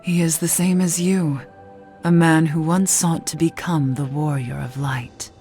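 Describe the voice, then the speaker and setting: A woman playing a mysterious cursed witch in a video game, speaking in a grave, composed, measured way. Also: deep voice, steady tone, soft background music. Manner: measured, grave, quietly dramatic. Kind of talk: video game cutscene acting.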